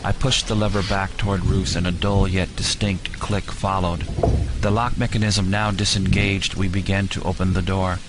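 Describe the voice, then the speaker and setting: A man giving grave, deliberate, dramatic first-person narration for an audio story. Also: sits low, level tone, heavy background noise. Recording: music bed with rain sounds.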